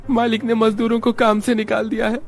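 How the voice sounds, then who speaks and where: A man's voice: extremely high pitch, monotone, brisk, vocal fry, faint music behind. A man playing a poor, anxious young labourer and dutiful husband in a cartoon story. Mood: tearful despair.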